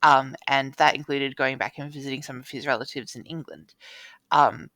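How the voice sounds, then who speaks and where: A woman with an Australian accent talks casually as a podcast co-host. Her voice is bright and forceful with a noticeable fry, and the sound is dry. She tells anecdotes informally, acting out what people said with a dry, joking touch. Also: a bass voice, steady tone, moderate pace, no echo.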